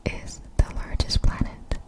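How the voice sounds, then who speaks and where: A woman whispering softly in an ASMR style, reading out facts in short, measured, breathy phrases. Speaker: ASMR video creator.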